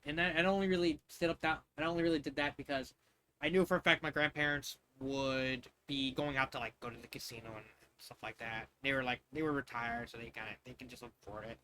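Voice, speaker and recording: A man in his thirties or forties from the United States reading fan fiction with casual, halting, rambling tangents where he repeats phrases. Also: a little high, bright, forceful tone, slight background noise, low-quality recording.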